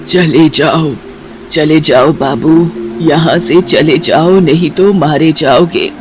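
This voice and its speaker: Woman putting on an eerie, slow-talking ancient woman. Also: low-pitched, over music. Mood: ominous warning. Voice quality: strange, otherworldly voice. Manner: slow, drawn-out, mysterious. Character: eerie centuries-old woman, ghostly palace caretaker, menacing.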